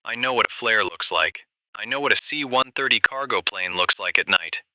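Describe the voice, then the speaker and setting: A man playing an earnest, shaken desert ranger phoning a radio drama's talk show, plain-spoken, halting and emphatic. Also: on the low side, heavy background noise, natural voice. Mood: uneasy, insistent. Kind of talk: radio drama phone-in testimony.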